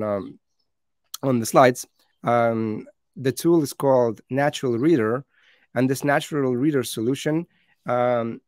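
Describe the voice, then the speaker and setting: Halting man, native Lithuanian speaker. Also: mid-range voice, darkish tone, no echo, studio quality. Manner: halting, many fillers and restarts. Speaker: conference speaker. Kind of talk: conference talk with slides.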